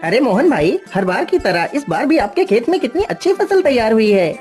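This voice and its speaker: Woman as excitable, high-voiced farmer neighbour. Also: brisk, faint music behind. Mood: admiring. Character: friendly, alarmed male farmer neighbour.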